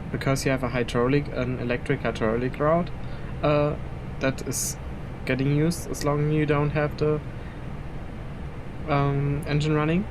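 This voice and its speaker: A young man whose first language is German rambles through casual gameplay commentary in English, chuckling often between thoughts. His voice sits at an ordinary middle pitch. A steady background hum of air conditioning runs under him in his room at home. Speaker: flight simulator streamer.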